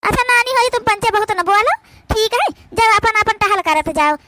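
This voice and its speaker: A woman's voice: extremely high pitch, animated, normal speed, heavy background noise. A woman voicing brash, indignant village daughters-in-law in an Awadhi cartoon comedy, scolding and arguing in a rustic manner.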